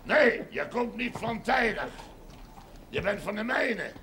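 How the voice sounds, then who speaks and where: An actor playing a defiant, blustering farmer, loud, sardonic and declamatory, in a television drama. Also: male voice, sits high, big pitch swings, slow pace, exaggerated voice. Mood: scornful. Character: defiant, blustering Limburg farmer facing land expropriation. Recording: noisy 1970s television soundtrack.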